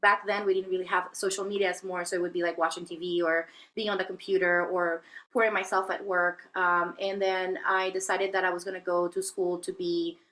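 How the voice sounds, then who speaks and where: A woman's voice: fairly low pitch, level tone, brisk, fairly bright tone, poor audio. A woman from Venezuela, a guest telling her life story in an interview, hesitant with many fillers and restarts. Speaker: guest, spiritual healer. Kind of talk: reflective personal interview talk.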